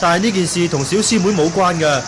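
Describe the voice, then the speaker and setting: An actor playing a young martial-arts disciple in a Hong Kong Cantonese radio drama, respectful, formal and pleading. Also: male voice, sits high, fast, noisy background, lightly acted. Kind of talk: 1980 radio drama acting. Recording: old radio drama with crowd behind.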